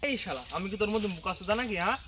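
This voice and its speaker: Foul-mouthed, mocking layabout for a comedy sketch male actor; high voice, rapid patter. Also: background noise, natural voice. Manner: coarse, mocking. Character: crude, foul-mouthed, jeering idle male hustler.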